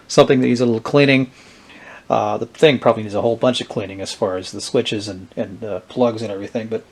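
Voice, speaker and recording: A man giving a casual, unhurried product demonstration, haltingly thinking aloud as he presents vintage electronics. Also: medium pitch. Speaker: vintage electronics collector presenting his gear.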